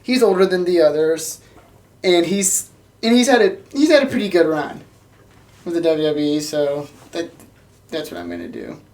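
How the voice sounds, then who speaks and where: A woman with a notably low voice speaks as a podcast co-host. She chats casually and informally, as if among friends. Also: big pitch swings, normal speed, darkish tone. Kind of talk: casual chat.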